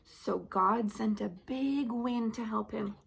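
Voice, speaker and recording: A woman reading a Bible story aloud to young children, in simple repetitive phrases with questions to her listeners and some hushed, whispery delivery. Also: a little high, takes it slowly, vocal fry, slight background noise.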